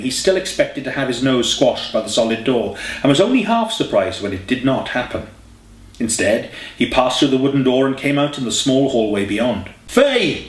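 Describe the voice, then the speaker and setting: A man reading a scripted story as an audiobook narrator. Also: mid-range voice. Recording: roomy, echoey home recording.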